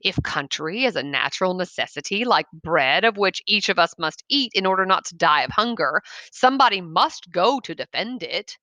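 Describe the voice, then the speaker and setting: A woman's voice: lowish voice, animated, normal speed, hardly any pauses, bright, forceful tone, dry recording. A woman voicing a proud, stoic and insistent old bereaved father in a short story.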